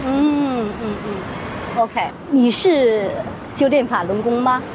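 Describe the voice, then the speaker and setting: A woman with a slightly high voice works as an interviewer on location. She murmurs short acknowledgements as she goes. Clear outdoor noise sits behind her. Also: takes it slowly. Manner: frequent murmured backchannels.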